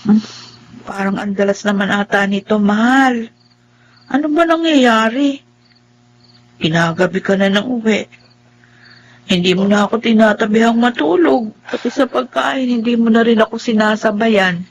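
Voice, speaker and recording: An actress playing a gentle, devoted blind young wife in a radio drama, speaking tenderly and pleadingly. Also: female voice, a little high, normal speed, pauses often, slight background noise, close to an everyday voice, rough recording. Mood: hurt, pleading. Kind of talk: radio drama acting with first-person narration.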